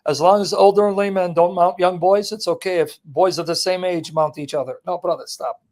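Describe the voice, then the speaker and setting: A man's voice: high-pitched, steady tone, brisk, a little mellow. A man hosting a debate, brash and mocking, firing questions at a caller. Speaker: host. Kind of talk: combative debate talk.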